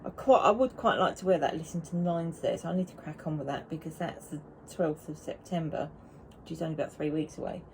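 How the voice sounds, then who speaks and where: Knitting vlogger, woman, lower voice, chatty, restarts her sentences, quiet room hum. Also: fairly quick, low-quality recording. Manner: chatty and rambling, with frequent restarts. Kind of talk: casual chat to camera.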